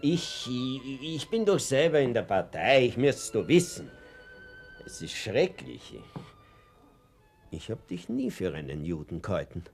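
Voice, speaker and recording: A man's voice: medium pitch, wildly swooping pitch, measured pace, a little mellow, husky, soft background music, exaggerated voice. An actor with an Austrian accent playing an anxious, guilt-ridden middle-aged father and reluctant party member in a radio drama, speaking in a hesitant, colloquial way. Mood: anguished, insistent. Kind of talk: naturalistic radio drama acting.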